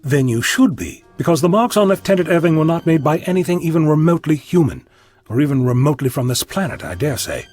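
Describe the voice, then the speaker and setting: An actor playing an eccentric, polite alien time traveller in an audio drama, babbling eagerly and insistently. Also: male voice, fairly high pitch, animated, fast, darkish tone, faint music behind. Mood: earnest, insistent.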